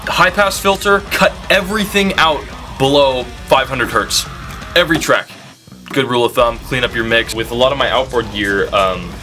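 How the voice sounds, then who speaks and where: Deadpan man giving confident studio tips. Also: medium pitch. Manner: confident, matter-of-fact. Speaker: recording engineer. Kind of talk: deadpan parody advice.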